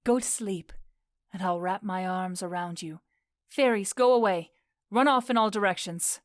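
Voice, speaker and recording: Woman voicing fairy queen, audio drama, infatuated, tender, commanding, medium pitch, dry close recording. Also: normal speed, no room sound, close to an everyday voice. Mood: adoring. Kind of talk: modernized Shakespeare audio drama acting.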